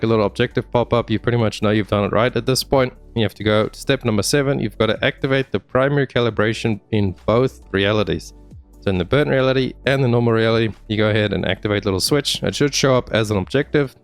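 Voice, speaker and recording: A man narrating a game walkthrough in a casual, informal, friendly way, speaking straight to viewers. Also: fairly low pitch. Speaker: gaming video host. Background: music.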